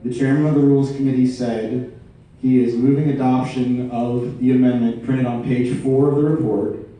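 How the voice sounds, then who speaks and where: A man's voice: mid-range voice, steady tone, moderate pace, warm tone. A man speaking as a delegate in a formal procedural debate, putting precise, methodical and insistent questions to the chair. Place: large indoor meeting room. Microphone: floor microphone. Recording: roomy echoing hall, distant mic.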